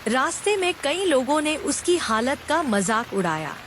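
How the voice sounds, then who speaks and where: A woman narrating a cartoon moral story in scripted, expressive storybook narration. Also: high-pitched, measured pace, a little hiss or hum.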